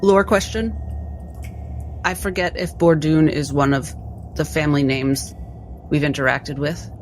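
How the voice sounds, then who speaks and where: A woman's voice: deep voice, fairly even intonation, measured pace, a little hiss or hum. A woman talking casually as a player in a tabletop roleplaying game.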